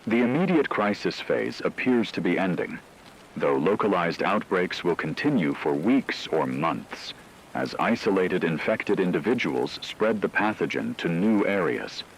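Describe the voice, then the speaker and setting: A man playing a grave radio newsreader in an apocalypse drama, reading a scripted bulletin in a measured, formal, factual way. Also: sits low, expressive, creaky, slight background noise, exaggerated voice, rough recording. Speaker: news anchor.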